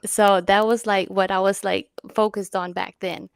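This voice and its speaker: A woman's voice: medium pitch, flat delivery, normal speed, creaky, clear, resonant tone, dry recording, studio quality. A woman from Denmark in her thirties, a podcast co-host telling her story earnestly as an interview guest, with frequent uhs and small laughs.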